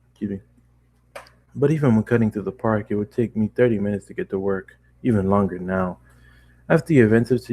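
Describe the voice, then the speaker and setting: A man's voice: fairly low pitch, darkish tone, poor audio. A man narrating a story informally as an amateur reader, often stumbling over words and starting lines again. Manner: informal, stumbling over the text and correcting himself. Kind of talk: read-aloud fan fiction narration.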